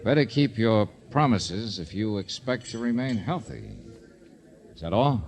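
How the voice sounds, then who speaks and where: A man's voice: fairly low pitch, lively intonation, unhurried, darkish tone. A man playing a wry, easygoing private troubleshooter in a classic radio drama, with an American accent and a dry, teasing delivery. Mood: polite, probing. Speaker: lead actor in detective radio drama. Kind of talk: classic 1940s radio acting.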